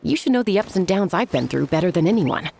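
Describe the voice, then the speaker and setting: A low, gravelly laid-back warrior. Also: female voice, quick pace, slight background noise. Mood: relaxed. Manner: relaxed, easygoing, dry. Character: laid-back female warrior who breaks up fights. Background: game music.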